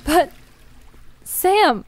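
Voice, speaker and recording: A woman's voice: extremely high pitch, animated, extremely slow, heavily acted. A woman acting an anxious, pleading young woman who leads her friends in an audio drama. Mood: distressed, pleading.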